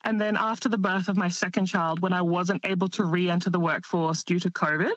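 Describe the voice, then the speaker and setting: A woman interpreter calling in to a radio show, speaking slowly and earnestly. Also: on the low side, fairly even intonation, no echo, dull audio. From Russian-speaking home. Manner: slow, measured, earnest. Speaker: caller, interpreter. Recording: dry.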